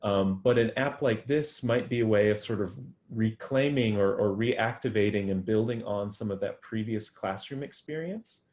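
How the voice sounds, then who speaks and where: Low-pitched male academic, thoughtful, hesitant delivery with frequent fillers. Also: normal speed, hardly any pauses, a little mellow. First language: English. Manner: thoughtful, many ums and restarts. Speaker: guest researcher. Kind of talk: academic interview answers.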